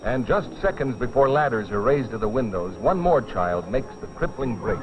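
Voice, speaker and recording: A man's voice: mid-range voice, expressive, moderate pace, very noisy. Dramatic male film narrator, dull lo-fi sound. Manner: grave, urgent storytelling delivery. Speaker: narrator of a fire safety training film. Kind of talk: dramatic scripted documentary narration. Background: dramatic background music.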